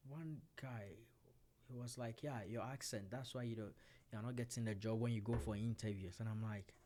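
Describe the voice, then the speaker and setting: A man co-hosting a casual podcast, telling personal stories candidly and asking questions. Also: medium pitch. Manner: storytelling, candid, asks questions, laughs easily.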